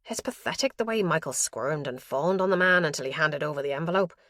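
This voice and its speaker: A woman's voice: low voice, quick pace, fairly bright tone, no room sound, studio quality. A woman voicing an elderly father who pours his fears about his son into a diary, anxious and guilt-ridden.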